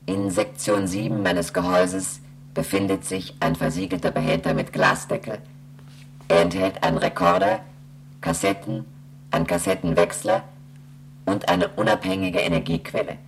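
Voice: deep voice, fairly even intonation, moderate pace, faint music behind. An actor voicing a space station computer that recites technical facts in a formal, impersonal radio drama delivery. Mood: impassive, formal. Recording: noisy track with a hum.

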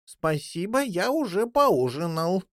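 A woman's voice: mid-range voice, very animated, extremely slow, no room sound, clean studio sound, voice pushed up, heavily acted. A woman voicing a naive, timid little jackdaw chick in a children's fairy tale, with a childlike, plaintive manner. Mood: offended.

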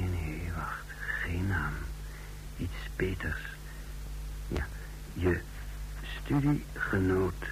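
A man playing a scheming, coolly contemptuous secret agent on the run in an old radio play, speaking deliberately and broodingly. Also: low voice. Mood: tense, secretive. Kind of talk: old Dutch radio play acting, first-person narration. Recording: noisy old radio drama recording.

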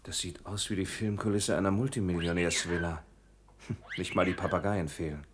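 An actor in a 1960s radio drama playing a sceptical visitor, dry, ironic and understated. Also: male voice, low voice, fairly even intonation, normal speed, close to an everyday voice. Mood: dry, resigned. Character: dry, sceptical male visitor, wry and unflappable. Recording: noisy old radio play recording.

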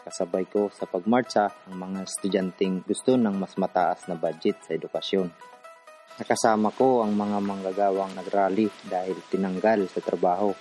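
A man's voice: low voice, steady tone, normal speed, warm tone, lightly acted. A man playing an earnest, determined activist from the urban poor, telling his story in a radio drama. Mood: determined reflective. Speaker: narrator and protagonist. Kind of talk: radio drama narration and dialogue. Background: music.

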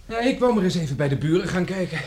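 An actor playing a kind, dutiful young village man in a radio play, speaking earnestly with a husky voice. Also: high voice, lively intonation, fast talker, darkish tone, slight background noise. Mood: easy, domestic. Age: young adult.

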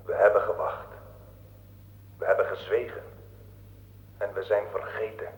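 An actor in a radio play voicing the bitter, weary ghost of a fallen soldier, hushed and fading. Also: male voice, fairly low pitch, level tone, a little slow. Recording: echoing, reverberant radio drama sound.

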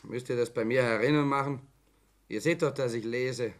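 A man's voice: medium pitch, moderate pace, natural voice. An actor playing a grumpy, bookish young man in a 1960s German radio play. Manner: irritated, curt.

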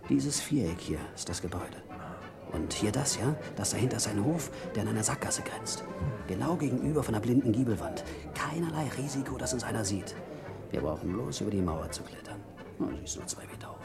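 A man's voice: mid-range voice, animated, a little fast, vocal fry. A man playing a ruthless, impatient burglar ringleader in a radio crime drama, bossy, urgent and conspiratorial. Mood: scheming, confident. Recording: vintage radio play with music.

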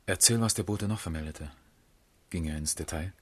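A grieving gentleman in a radio drama. His voice is very low and husky, with a commanding, brooding manner that suits the master of a house. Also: normal speed. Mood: shaken, curt. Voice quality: deep, husky. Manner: commanding, impatient, then brooding. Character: brusque, shocked master grieving his friend. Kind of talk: German radio drama acting.

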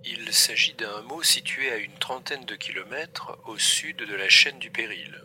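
An actor voicing a matter-of-fact computer assistant in a science fiction audio drama, speaking in a measured, formal way. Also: medium pitch, sharp tone, slight background noise. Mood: neutral, informative.

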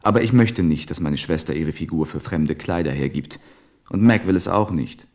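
A man's voice: very deep voice, moderate pace, darkish tone. An actor playing a confident, boastful businessman son in a radio play, speaking in a self-assured, persuasive way. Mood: boastful, confiding. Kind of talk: literary radio play acting.

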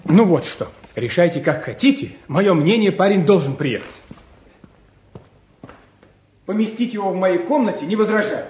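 A touchy grown-up son in a roomy, echoing space. His voice is high and animated. He is curt and dismissive, in vintage Soviet radio-drama style. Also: fairly quick. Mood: curt, resigned. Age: young adult. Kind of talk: mid-century Soviet radio-play acting. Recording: roomy echo, slightly distant.

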